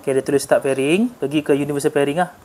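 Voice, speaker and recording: A man's voice: on the high side, fairly even intonation, racing, darkish tone. A man with a Malaysian accent giving a casual, hands-on product demonstration, mixing in English tech terms. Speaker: motorcycle gear reviewer. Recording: clearly noisy room recording.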